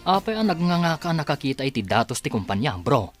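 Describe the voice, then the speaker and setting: An actor playing an easygoing adult son in a family business in a radio drama, with an Ilocano Filipino accent. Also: male voice, mid-range voice, very animated, fast talker, faint music behind, natural voice.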